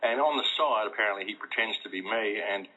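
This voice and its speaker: A man's voice: lowish voice, fast, bright, forceful tone. A middle-aged man with a South Australian accent talking emphatically and insistently as a guest in a conversational interview. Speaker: talk show guest. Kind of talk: animated conversational monologue. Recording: muffled lo-fi internet radio audio.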